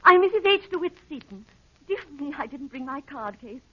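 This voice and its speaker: Old radio drama: anxious society lady, animated, fluttery pleading, muffled broadcast. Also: high voice, moderate pace, no echo. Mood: flustered, pleading. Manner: fluttery, insistent, repetitive. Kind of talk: 1930s radio drama acting.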